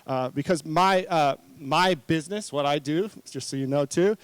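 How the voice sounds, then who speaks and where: A man in his forties from New Zealand and Hawaii, speaking informally as a conference presenter in an earnest, conversational way, often halting and correcting himself. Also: fairly high pitch, clean tone, dry recording. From New Zealand-born, raised in Hawaii. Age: 40s. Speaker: conference speaker, marketing consultant.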